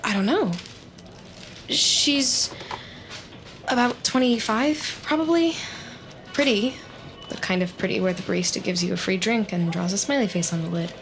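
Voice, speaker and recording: A woman's voice: mid-range voice, soft background music, close to an everyday voice. An actress playing a lonely, nosy, awkward woman who works as a data analyst, in a scripted audio drama. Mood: awkward, evasive. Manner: awkward, halting, wry, muttering to herself. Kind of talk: scripted audio drama, dialogue and inner monologue.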